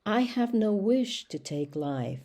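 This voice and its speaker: A woman reading the lines of a big, gentle male cart horse in an audiobook, speaking sorrowfully. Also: mid-range voice, sing-song, takes it slowly, voice pushed up, heavily acted. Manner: sorrowful, soft-spoken.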